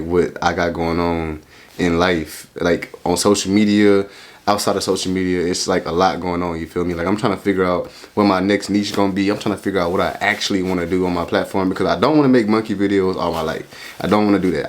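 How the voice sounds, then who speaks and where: A young man in his twenties reacting to a video, in casual, rambling, slangy talk that keeps asking "you feel me?". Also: low voice. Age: 20s.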